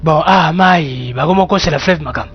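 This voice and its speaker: A wry cartoon forest animal played by a man rambles deadpan. The audio is a little muffled. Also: fairly high pitch, moderate pace, music in the background, lightly acted. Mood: wry, rambling.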